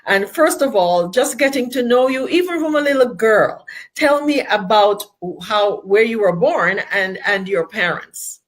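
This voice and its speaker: A woman's voice: fairly high pitch, animated, measured pace, poor audio. A woman hosting a faith-based interview, enthusiastic and encouraging toward her guest. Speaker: host.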